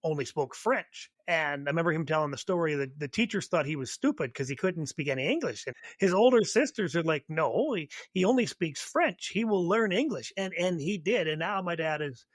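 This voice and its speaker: A man's voice: fairly high pitch, fairly quick, hardly any pauses, no room sound. A man from Canada hosting a podcast, trading easygoing stories in casual chat. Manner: relaxed, storytelling, agreeable. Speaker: podcast host.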